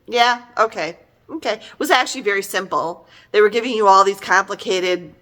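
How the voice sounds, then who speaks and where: A middle-aged woman with a very bright, forceful voice at an ordinary female pitch. She talks casually, as if reviewing for friends, with animated emphasis and an exasperated edge. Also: moderate pace. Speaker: book reviewer. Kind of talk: casual conversational book review.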